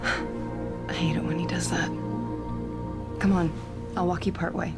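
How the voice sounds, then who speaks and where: A woman's voice: lowish voice, level tone, measured pace, gravelly, over loud music. An actress playing an earnest, uneasy female research scientist in a video game cut-scene. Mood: anxious, earnest. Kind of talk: naturalistic video game acting.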